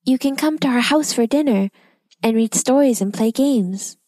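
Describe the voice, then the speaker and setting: A woman voicing a brave, kind young girl who talks politely and calmly. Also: on the high side, normal speed, no echo, pitched above the performer's own voice, lightly acted. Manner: polite and calm.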